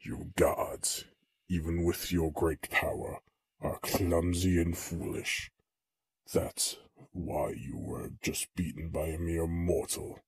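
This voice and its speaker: An actor playing a cold, proud ninja assassin with ice powers in an audio drama, terse and defiant. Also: male voice, low voice, little pitch movement, slow pace, breathy edge, heavily acted.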